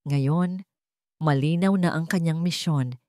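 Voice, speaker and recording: A woman with a Filipino accent narrates a dramatic scripted story with emotive, deliberate phrasing. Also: sits low, warm tone, no room sound, studio quality.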